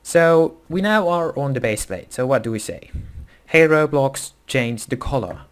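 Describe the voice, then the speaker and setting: A man's voice: mid-range voice, expressive, measured pace, smooth. A young man with a Dutch accent, speaking English as a second language, walking through a screen-recorded coding tutorial in a casual, hesitant way with many fillers and self-corrections. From Netherlands. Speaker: tutorial host.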